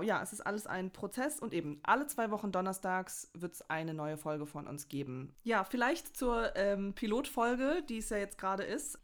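A young woman hosting a casual podcast, talking in an upbeat, giggly and rambling way. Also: medium pitch. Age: young adult. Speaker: podcast host. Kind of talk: casual chat.

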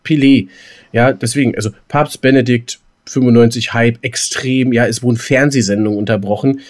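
Man, medium pitch, casual unscripted podcast chat, colloquial, halting, rambling. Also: steady tone, a little mellow. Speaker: podcast co-host.

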